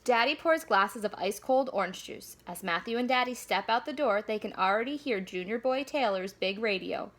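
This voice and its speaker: A woman reading a children's storybook aloud in an animated, deliberate storyteller delivery. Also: a little high. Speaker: school staff member reading aloud.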